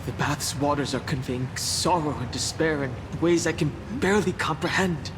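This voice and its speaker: A man playing a watchful warrior in a video game, speaking formally and deliberately. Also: on the high side, noisy background, close to an everyday voice. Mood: uneasy. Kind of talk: dramatic video game voice acting.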